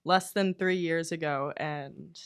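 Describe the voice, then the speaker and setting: A young woman from Florida with a somewhat low voice gives brief, casual interview answers. Her sentences fade softly at the end, and her tone is plain and easygoing. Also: steady tone, takes it slowly, clean tone. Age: young adult. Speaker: college sailing team captain.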